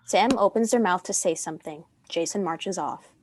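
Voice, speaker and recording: A woman's voice: on the low side, moderate pace. A woman reading stage directions as narrator of a scripted drama.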